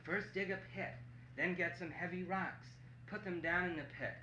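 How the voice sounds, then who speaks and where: A man's voice: sits high, normal speed, sharp tone, voice pushed up. A man voicing a wily monkey in an oral folk tale, begging urgently and repeating himself. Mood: coaxing. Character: wily, pleading monkey trapped and desperate. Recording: muffled, noisy, echoey room recording.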